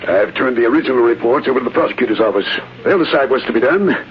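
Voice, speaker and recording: An actor playing an indignant, pompous government commissioner in a blustering vintage radio drama style. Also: male voice, medium pitch, rapid-fire, close to an everyday voice. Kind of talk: 1930s-40s radio drama acting. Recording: muffled, hissy vintage radio broadcast.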